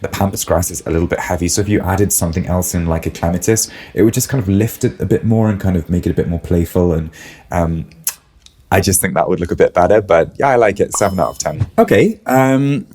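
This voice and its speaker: Low, husky-voiced male florist with a cold. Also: quick pace, a little mellow. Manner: musing, hedging, lots of "like" and "I think". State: snuffly with a cold. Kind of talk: casual reaction commentary.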